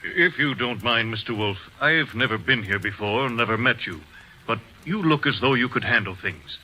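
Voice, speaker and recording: A man's voice: medium pitch, lively intonation, moderate pace, sharp tone, a little hiss or hum, rough recording. An actor playing an earnest, concerned man in old radio drama. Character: worried, earnest middle-aged man. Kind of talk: 1940s radio acting.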